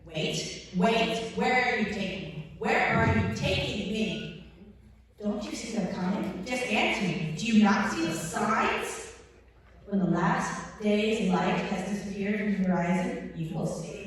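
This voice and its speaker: A woman's voice: medium pitch, a little slow, natural voice. A woman performing a dramatic monologue as a desperate would-be savior, urgently pleading to be believed and repeating phrases. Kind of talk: dramatic monologue read at a workshop. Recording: very echoey hall, distant mic, faint noise.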